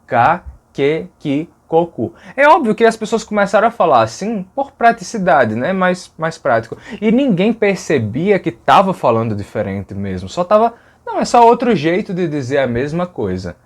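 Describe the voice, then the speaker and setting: A man's voice: on the high side, big pitch swings, normal speed. A man teaching a lesson in a conversational way, often tagging "né?" and sounding out speech examples. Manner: conversational, fillers like "né?", demonstrates sounds aloud. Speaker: language educator. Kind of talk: explanatory video lesson.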